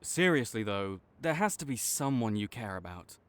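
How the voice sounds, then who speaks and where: A man's voice: fairly low pitch, big pitch swings, moderate pace. A man acting a cocky, teasing but secretly caring supervillain rival in a dramatic audio roleplay, bantering and exasperated. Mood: grudgingly concerned. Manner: bantering, exasperated, faltering into awkward concern.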